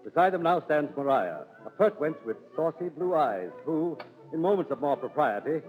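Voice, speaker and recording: A man's voice: a little high, very animated, moderate pace. A man narrating in theatrical, declamatory 1930s radio storytelling style. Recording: tinny 1930s radio, music behind.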